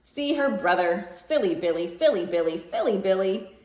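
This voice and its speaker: A woman reads a picture book aloud as a children's storytime host. Her pitch moves up and down a great deal, with playful emphasis and bouncy rhythm. The room sounds echoey. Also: animated, moderate pace, a little mellow, a little hiss or hum.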